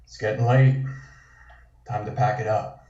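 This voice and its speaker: A man's voice: lowish voice, fairly even intonation, unhurried, mellow, slight background noise, close to an everyday voice. A man playing a tired, tense policeman in a period crime table read, speaking in a curt, weary way. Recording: roomy, dull, distant microphone.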